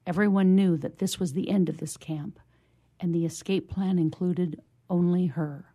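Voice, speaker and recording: A woman guest reading a short story aloud in a measured narrative style. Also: lowish voice, steady tone, warm tone. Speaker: guest reader.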